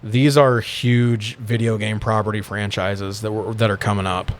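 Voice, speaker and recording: A man's voice: fairly low pitch, level tone, normal speed. A man co-hosting a podcast in casual, informal chat that is occasionally profane. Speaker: podcast co-host.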